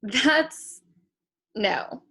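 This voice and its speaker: A woman's voice: a little high, expressive, extremely slow, creaky, sharp tone, exaggerated voice. A woman playing a wary, blunt, indignant schoolgirl in a comic stage play reading. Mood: wary, puzzled. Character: skeptical, indignant schoolgirl meeting her future self. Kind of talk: stage comedy acting in a table read.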